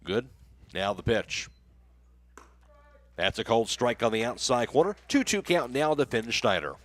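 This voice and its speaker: A man's voice: mid-range voice, expressive, a little slow, fairly bright tone. A man with an American accent doing live radio play-by-play commentary of a baseball game as the announcer.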